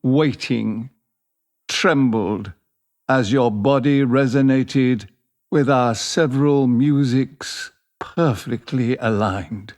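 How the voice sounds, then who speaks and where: A man playing an amorous, poetry-loving British lover in an audio drama, measured, romantic and wry. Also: medium pitch, darkish tone, close to an everyday voice. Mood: tender, romantic.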